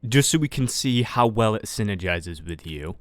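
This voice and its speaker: A man's voice: lowish voice, expressive, normal speed, dry recording, lightly acted. A man playing a world-weary, sarcastic final-year student in a casual roleplay, muttering hesitant asides. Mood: casual, awkward. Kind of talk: casual improvised Minecraft anime roleplay. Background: music.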